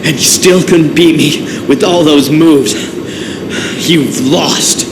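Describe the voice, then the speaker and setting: A man's voice: a little high, takes it slowly, noisy background. An actor playing a dramatic, emphatic male action hero in a video game cutscene. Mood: smug. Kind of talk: dubbed game cutscene acting. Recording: echoey game audio under loud music.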